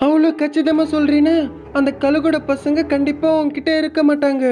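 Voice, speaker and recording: A vengeful little ghost bird from a cartoon, played by a man, pitched high above a normal voice. It speaks bluntly and with menace, with music playing behind. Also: flat delivery, brisk, dark tone. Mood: menacing. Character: vengeful dead sparrow turned menacing ghost.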